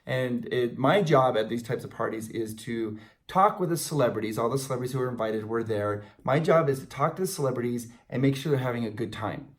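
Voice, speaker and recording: A man's voice: mid-range voice, fairly quick. A middle-aged man with an American accent, chatting casually and telling stories to fans as a video co-host, often rephrasing himself. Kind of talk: casual chat and storytelling to fans. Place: small indoor room in front of a logo banner.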